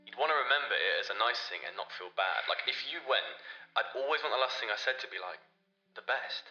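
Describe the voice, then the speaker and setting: A man's voice: medium pitch, lively intonation, fast talker, sharp tone, reverberant, highs cut off, faint music behind. A man playing an earnest, sentimental young man in a naturalistic radio play, colloquial and insistent.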